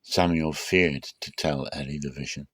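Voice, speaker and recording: A man's voice: extremely low pitch, steady tone, normal speed, fairly bright tone, slightly rough, dry recording, clean studio sound. A man reading the Bible aloud as a dramatic scripted narration. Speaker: Bible reader.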